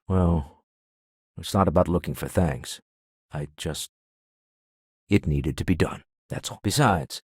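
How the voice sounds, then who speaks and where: An urbane, moneyed older businessman with a taste for exploration in a video game. His voice sits fairly low and moves through lively, expressive swings. The phrasing is genteel, measured and wry, captured in a very dry studio sound. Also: slightly rough, no echo, exaggerated voice. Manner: refined, measured, dryly witty phrasing. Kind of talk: video game character dialogue.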